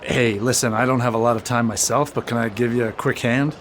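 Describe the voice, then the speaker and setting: A man's voice: mid-range voice, fairly even intonation, a little fast, slight background noise, lightly acted. An actor playing a pragmatic, casual young male captain with a down-to-earth manner in an audio drama. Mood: friendly, casual. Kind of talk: full-cast audio drama acting. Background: music.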